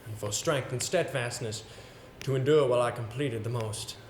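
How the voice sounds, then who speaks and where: Haunted, formal storyteller male stage actor, solemn and measured; echoey live theater recording, faint background noise. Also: mid-range voice, lightly acted. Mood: solemn, resolute. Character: solemn, haunted gentleman narrating his ghost story. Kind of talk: theatrical dramatic monologue.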